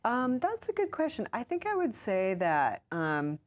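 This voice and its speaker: A woman's voice: a little high, wildly swooping pitch, unhurried. An American woman giving casual, conversational interview answers in an informal, chatty way, often saying "like" and "you know". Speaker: interview guest, author and urban farmer.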